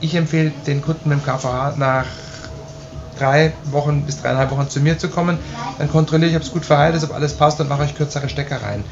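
A man's voice: fairly high pitch, steady tone, quick pace. A man in his forties with an Austrian accent, explaining piercing aftercare to the camera in a chatty, reassuring way, with many fillers, in a small tiled studio room. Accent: Austrian German. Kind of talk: casual explainer tutorial. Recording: dull sound with loud background hiss.

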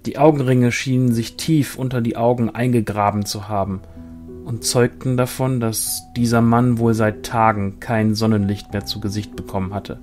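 A man calmly and measuredly reading a fantasy short story aloud as narrator. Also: mid-range voice, moderate pace. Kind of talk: scripted storytelling. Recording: soft guitar music behind.

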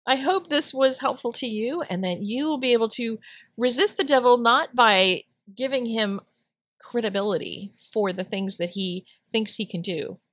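A woman's voice: fairly high pitch, a bit bright, dry recording. Chatty woman with a dry close voice. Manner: chatty storytelling with laughs. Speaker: Bible journaling channel host. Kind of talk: casual voice-over while drawing.

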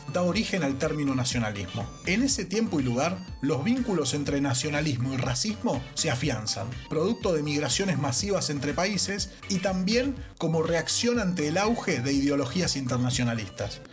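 A man's voice: fairly high pitch, expressive, normal speed, music in the background. A man with an Argentine accent narrating a scripted educational podcast as its host. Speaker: podcast host, social sciences teacher.

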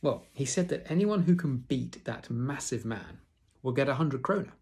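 A man's voice: mid-range voice, animated, moderate pace, a little mellow, pitched above the performer's own voice, lightly acted. A man doing a sensible young boy. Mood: explaining.

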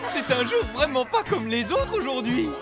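A doting suburban spouse and pet owner, high and very animated. The cartoon delivery is affectionate and excitable, with music underneath. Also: female voice, normal speed. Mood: cheery. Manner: affectionate, exclaiming. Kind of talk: exaggerated cartoon acting. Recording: music behind the voice.

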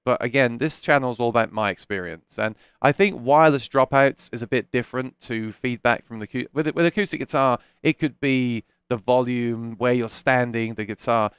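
A man in his thirties chats candidly through a headset mic in a small home studio, answering viewers' questions with frequent restarts. His voice is smooth and resonant, set at an ordinary middle pitch. The sound is dry and close. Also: no room sound. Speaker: live stream host. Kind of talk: casual live chat answering viewer questions. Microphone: headset microphone.

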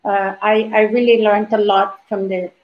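A woman's voice: medium pitch, level tone, moderate pace, muffled recording. An older woman from Libya on a video call panel, recounting her activism in an emphatic, storytelling way with frequent restarts. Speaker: organization founder, panelist. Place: indoor home room.

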